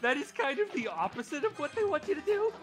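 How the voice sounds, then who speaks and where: A woman's voice: high voice, sing-song, fast talker, soft background music. A woman chatting casually as co-commentator on a game stream. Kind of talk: casual chat.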